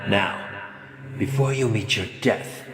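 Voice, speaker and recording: An actor playing a sadistic, theatrical horror film director in a video game, with flamboyant delivery and gleeful menace. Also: male voice, fairly low pitch, slow pace. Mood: nostalgic, sinister. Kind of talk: video game villain voice acting. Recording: echoing long reverb, music behind.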